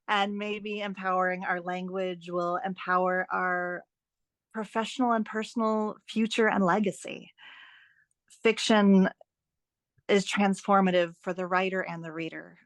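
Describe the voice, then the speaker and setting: A woman speaking as a guest author in a podcast interview, expressive and enthusiastic in a conversational way. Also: lowish voice, no echo.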